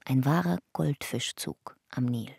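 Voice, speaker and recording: A woman's voice: extremely low pitch, unhurried, vocal fry, darkish tone, slightly rough, dry recording, clean studio sound, exaggerated voice. A woman narrating a radio drama in documentary style, sober, clipped and matter-of-fact. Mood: sober, factual.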